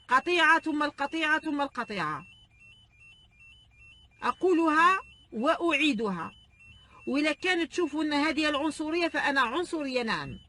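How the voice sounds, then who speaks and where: Fast, high, emphatic Algerian woman. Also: bright, forceful tone. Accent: Algerian Arabic. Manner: emphatic, hammering repetition. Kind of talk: impassioned address to the public.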